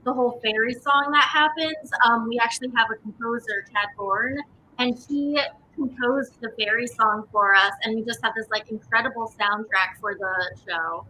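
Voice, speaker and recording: A woman gives a casual, enthusiastic interview as a theatre company guest. Her voice is fairly high and bright, peppered with "like". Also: moderate pace, a little hiss or hum, low-quality recording. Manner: enthusiastic, frequent "like".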